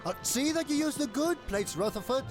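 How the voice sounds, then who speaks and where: A man voicing a jovial, theatrical older uncle in a game fan dub, boisterous and quick to laugh. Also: very high-pitched, expressive. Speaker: fan voice actor. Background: game score music.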